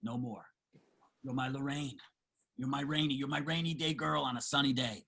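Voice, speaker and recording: Man acting a husky, pleading homeless man. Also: mid-range voice, steady tone, normal speed, pushed and bright, full character voice. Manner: earnest, colloquial, pleading. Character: rough-spoken, yearning homeless man, pleading and tender. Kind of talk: dramatic table reading.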